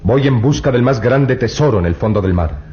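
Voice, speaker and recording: An actor playing an earnest, persuasive young treasure hunter in a vintage radio drama. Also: male voice, medium pitch, lively intonation, fairly quick, mellow, close to an everyday voice. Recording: dull lo-fi old radio recording.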